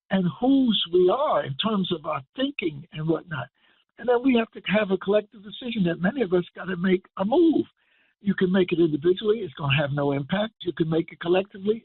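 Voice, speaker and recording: An older man giving an impassioned radio lecture as a guest, speaking emphatically and building to forceful declarations. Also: high voice, creaky, slightly rough, no room sound. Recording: tinny phone line.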